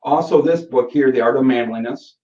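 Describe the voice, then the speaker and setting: A man's voice: medium pitch, brisk, darkish tone. A man in his forties with a Michigan accent, hosting a live course Q&A in a rambling, folksy way full of fillers and self-corrections. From Michigan, USA. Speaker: course host. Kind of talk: casual live Q&A session. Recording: roomy, distant and muffled webinar audio.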